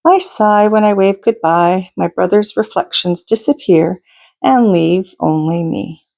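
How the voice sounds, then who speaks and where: A woman reading a picture book aloud to children as a storytime host, in a deliberate, expressive manner with asides to her listeners. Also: medium pitch, creaky. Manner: deliberate, expressive, pauses to ask listeners questions.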